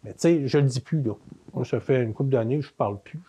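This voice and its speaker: A man's voice: mid-range voice, fast, dark tone, breathy edge. A man in his fifties with a Quebec French accent, telling family stories as an interview guest, with many fillers and restarts. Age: 50s. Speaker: interview guest, manor co-owner. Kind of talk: casual storytelling interview.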